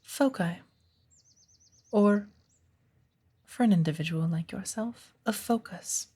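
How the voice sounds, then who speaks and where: A woman playing a patient, playful witch of the woods who gently teaches an apprentice in a soft ASMR roleplay, with a breathy voice. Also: mid-range voice. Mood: earnest, instructive. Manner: slow, measured, gently instructive.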